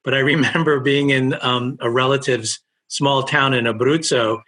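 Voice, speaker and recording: A man in his sixties, Italian by first language, hosting a conversation with a bright, husky voice. He thinks aloud as he goes, stopping and restarting his sentences while telling a story. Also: mid-range voice, steady tone, moderate pace. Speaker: interviewer, host. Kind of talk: conversational interview.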